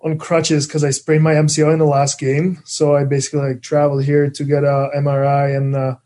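Young czech guy chatting on a podcast, mellow voice, pretty monotone, lots of uh and like. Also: fairly high pitch. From Czech Republic. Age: young adult. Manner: relaxed, halting, many fillers. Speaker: guest, pro hockey player. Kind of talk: casual interview chat.

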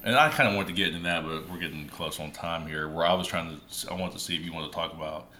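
A man with a lowish voice and a bright, forceful edge, chatting casually as a podcast co-host. He talks thoughtfully and pauses often, with plenty of fillers and restarts. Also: a little hiss or hum. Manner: thoughtful, halting, many fillers. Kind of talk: casual conversation.

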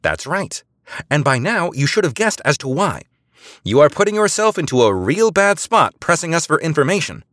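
A man narrator puts on a woman spy who bluffs over the comm with imperious authority. Her voice rides high and loud, swinging widely as she barks orders. The sound is dry and close. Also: big pitch swings, normal speed, no echo. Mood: commanding.

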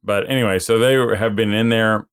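Low-voiced chatty male podcast host. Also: steady tone, fairly quick, clean tone, no room sound, clean studio sound. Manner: chatty storyteller, laughs often, acts out quoted voices. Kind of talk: casual podcast chat.

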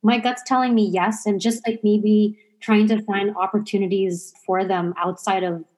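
A woman's voice: mid-range voice, fairly even intonation, normal speed, a little mellow, low-quality recording. A woman in her forties from Zambia, a podcast guest telling her family story earnestly and with casual fillers. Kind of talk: personal storytelling in an interview. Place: remote podcast recording.

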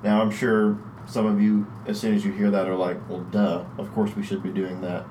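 Low-voiced male podcast host. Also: monotone, normal speed, noisy background. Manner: friendly, upbeat intro read. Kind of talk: church podcast hosting.

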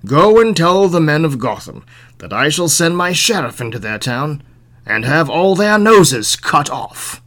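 Man acting a slow, commanding, cruel king. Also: fairly high pitch, very animated, a bit bright. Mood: menacing. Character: cruel, angry, threatening king.

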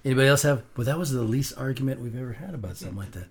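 A man's voice: medium pitch, rapid-fire, crowd noise. A man hosting a casual podcast, rambling and joking with plenty of fillers. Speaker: podcast host.

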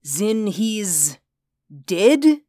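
A man's voice: high voice, sing-song, extremely slow, mellow, dry recording, studio quality, voice pushed up, heavily acted. A man voicing an agitated, demanding security chief in an audiobook story. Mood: agitated, urgent. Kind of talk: audiobook character dialogue.

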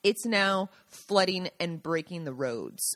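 A woman's voice: on the low side, animated, unhurried, a bit bright. A woman co-hosting a casual podcast chat, chatty and playfully teasing. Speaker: podcast co-host.

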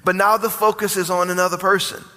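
A man's voice: high-pitched, little pitch movement, quick pace, pushed and bright. A man in his forties, a pastor preaching a sermon with rising emphasis and call-and-response. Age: 40s. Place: church sanctuary. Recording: long hall reverb.

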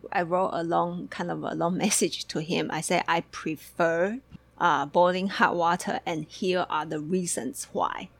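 Low gravelly woman from taiwan, lots of restarts and ums, laid-back chat. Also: a bit bright. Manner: halting, many restarts and fillers, laughs often. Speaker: co-host. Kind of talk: casual chat.

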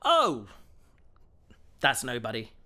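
A man's voice: mid-range voice, huge pitch swings, takes it slowly, sharp tone, heavily acted. A man playing an earnest, reserved and loyal police officer in an audio drama. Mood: awkward.

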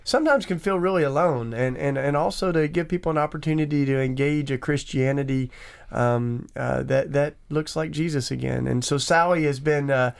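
A man with a smooth, clear voice pitched a little high co-hosts the show from a radio studio. He talks with eager enthusiasm and circles back through filler words and restarts. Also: normal speed. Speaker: podcast co-host. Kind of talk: casual podcast hosting.

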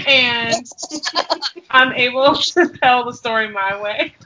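A woman co-hosting a casual podcast, giggly and playful as she pitches ideas. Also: lively intonation, takes it slowly, sharp tone, lo-fi sound, slight background noise. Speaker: podcast co-host. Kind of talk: casual chat.

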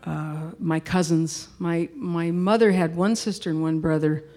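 A woman's voice: on the low side, steady tone, measured pace, dark tone, faint music behind. A middle-aged woman singer-songwriter introducing a song in casual, rambling, reflective stage talk.

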